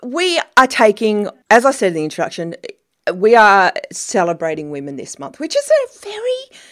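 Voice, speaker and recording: A woman hosting a podcast interview, chatty and quick to laugh. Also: mid-range voice, wildly swooping pitch. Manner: chatty, laughs often, warm-hearted banter. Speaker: podcast host.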